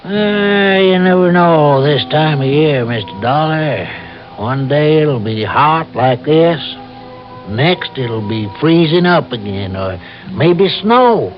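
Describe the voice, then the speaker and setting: Rustic local helper, highish voice, folksy, hesitant, cautious, radio drama, dull old recording. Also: male voice, lively intonation, slow, faint music behind.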